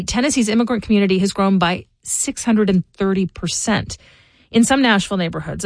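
A woman's voice: mid-range voice, no echo. Woman hosting a local news podcast, dry sound. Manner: measured, informative delivery. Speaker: podcast host. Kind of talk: scripted news commentary.

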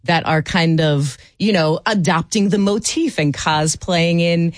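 A woman speaking as a guest on a radio panel, thoughtful and quick to laugh. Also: fairly low pitch, no room sound, clean studio sound. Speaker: guest expert.

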